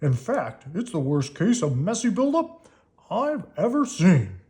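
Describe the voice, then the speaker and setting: Reader playing a father bear, storybook, firm, fair-minded, emphatic lecturing, mid pitch, animated. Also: a little slow. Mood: stern.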